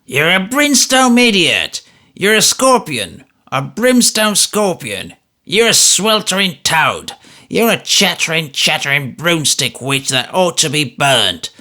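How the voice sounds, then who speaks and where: A man's voice: mid-range voice, very animated, a little slow, creaky, fairly bright tone, heavily acted. A man voicing a grasping, crippled old miser who gasps and wheedles, out of breath. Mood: furious. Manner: wheedling, gasping, sputtering insults.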